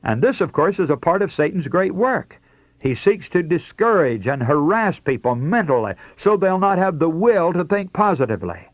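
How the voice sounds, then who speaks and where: A man's voice: a little high, very animated, normal speed, dry recording, muffled recording. A man preaching a scripted radio sermon in an earnest, rhetorical way, addressing listeners directly. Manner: earnest, rhetorical, emphatic oratory.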